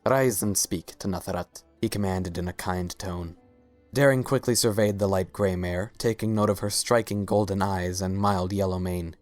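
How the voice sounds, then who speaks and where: Low-voiced male story narrator. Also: fairly even intonation, moderate pace, no echo, soft background music.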